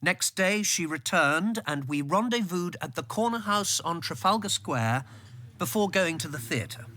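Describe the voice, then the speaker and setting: Bright-voiced memoir narrator. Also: male voice, high-pitched, lively intonation, a little slow, exaggerated voice. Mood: matter-of-fact. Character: male narrator recalling his political life, composed. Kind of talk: first-person radio drama narration.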